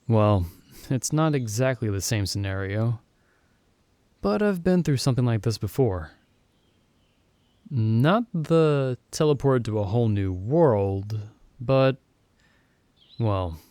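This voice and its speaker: A man acting as a caring young friend in a comfort roleplay. The voice is on the low side and soft-toned, mellow and close in a dry space. He talks gently and reassuringly, with thoughtful hesitations. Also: dry recording. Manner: gentle, soothing, hesitant pauses and soft chuckles. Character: caring, reassuring young male friend stranded in another world. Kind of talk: ASMR comfort roleplay, intimate acted monologue.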